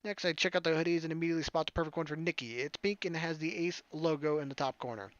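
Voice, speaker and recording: A man's voice: fairly high pitch, fast talker, a bit bright, no room sound, dull audio. A man reading a visual novel aloud as a let's player, dropping joking, offhand asides over music. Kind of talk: gaming commentary mixed with reading narration aloud. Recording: music under the voice. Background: game soundtrack music.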